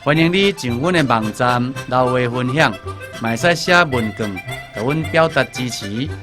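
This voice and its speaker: A man's voice: medium pitch, animated, moderate pace. A man with a Taiwanese accent, a voice actor, reading a scripted announcement to radio listeners. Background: music.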